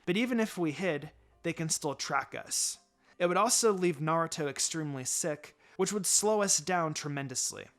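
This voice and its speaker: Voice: medium pitch, expressive, moderate pace, a bit bright. A narrator playing a thoughtful ninja girl of twelve who weighs things carefully. It is a subdued storybook part, with faint music underneath. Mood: cautious.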